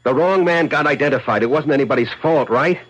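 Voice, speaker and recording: An actor playing a jumpy, scheming, domineering husband in a 1970s radio mystery, with a bossy, impatient manner. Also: male voice, mid-range voice, fast, a bit bright, natural voice. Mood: uneasy, reassuring. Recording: muffled 1970s radio broadcast recording, music.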